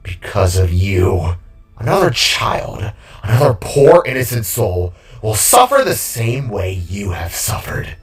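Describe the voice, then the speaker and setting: A man voicing a defeated villain king in an audio drama, bitter and gleefully unhinged, with a groggy, drawn-out, rambling delivery. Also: fairly low pitch, very animated, recorded from a distance. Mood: gleeful, menacing. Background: music.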